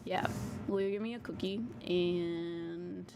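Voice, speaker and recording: Woman, tarot reader on a live stream, chatty and slangy, small soft voice, noisy basement recording. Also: on the low side, fairly even intonation, takes it slowly. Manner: chatty, slangy, casual swearing. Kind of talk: casual live-stream chat. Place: home basement.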